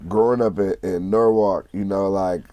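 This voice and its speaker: Male interviewer, mellow tone, dry close sound, easygoing questions. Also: low voice, fairly even intonation, normal speed, no room sound. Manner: easygoing, frequent short agreeing replies. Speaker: interview host. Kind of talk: casual interview hosting.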